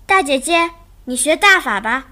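A woman's voice: high voice, lively intonation, moderate pace, bright, forceful tone. A woman playing a sweet earnest little girl. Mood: pleading. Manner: earnest, explaining eagerly. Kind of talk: radio drama acting.

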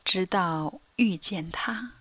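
A woman's voice: lowish voice, takes it slowly, pushed and bright, slightly rough, no echo, studio quality, heavily acted. A young woman narrating a radio drama as a former teen delinquent, in a subdued, reflective way that sometimes drops to a whisper. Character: former teen delinquent girl recalling her past.

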